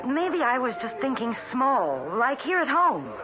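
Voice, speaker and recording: An actress playing an idealistic, feisty young woman reporter in a 1970s radio drama, earnest and quick-witted. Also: sits high, big pitch swings. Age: young adult. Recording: muffled vintage radio broadcast, music behind.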